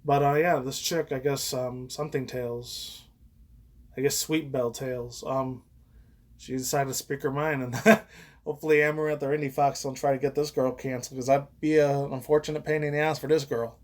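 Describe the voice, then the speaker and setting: A man's voice: mid-range voice, fairly even intonation, normal speed. A man in his thirties giving casual, rambling reaction commentary as a YouTuber, frank and profane. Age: 30s. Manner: crude, frank, profane, chuckling asides.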